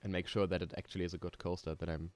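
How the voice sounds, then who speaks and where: A man's voice: sits low, flat delivery, racing, darkish tone, faint music behind. A young German man with a German accent, streaming casually while he builds roller coasters and thinks aloud with many ums and small laughs. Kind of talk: casual live stream chat. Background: keyboard typing.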